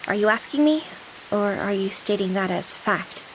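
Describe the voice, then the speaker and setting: Woman as a gentle, polite girlish AI companion. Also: medium pitch, animated, moderate pace, a little hiss or hum, close to an everyday voice, rough recording. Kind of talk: video game voice acting.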